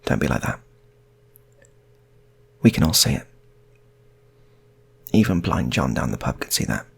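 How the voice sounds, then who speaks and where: A man's voice: rumbling low, sing-song, unhurried, creaky, slightly rough, pitched below the performer's own voice, heavily acted. A man voicing a worried wife who questions and coaxes her husband in an improvised story. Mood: coaxing, worried. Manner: questioning, coaxing.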